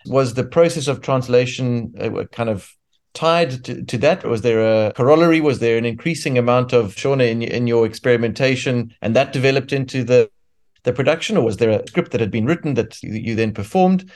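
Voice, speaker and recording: A man's voice: mid-range voice, brisk, darkish tone. Hesitant academic male interviewer. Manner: hesitant, qualifying questions.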